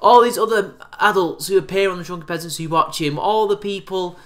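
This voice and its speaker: A man's voice: sits high, fairly quick. A man in his twenties ranting sarcastically in a response video, recorded on a webcam in a small room. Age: 20s. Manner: sarcastic, exasperated rants. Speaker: YouTube commentator. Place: small bright room indoors.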